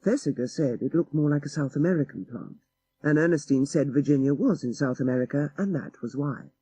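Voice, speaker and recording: A man reading a literary story as an audiobook narrator, in a measured and expressive manner. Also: fairly high pitch, slightly rough, no room sound.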